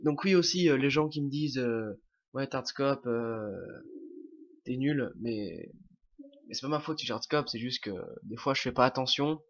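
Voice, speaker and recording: A man's voice: medium pitch, moderate pace. A young man with a French accent talks through his gameplay while fighting a cold. He hesitates often, restarts his sentences and leans on fillers. The audio sounds dull and lo-fi, like a cheap home microphone. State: has a cold, sniffling. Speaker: gameplay commentator. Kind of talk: casual game commentary.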